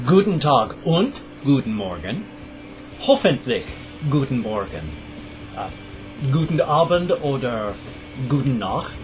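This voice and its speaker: A middle-aged man hosting his own channel, chatting casually to camera in a rambling, self-deprecating way. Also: mid-range voice. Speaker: channel host. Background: guitar music.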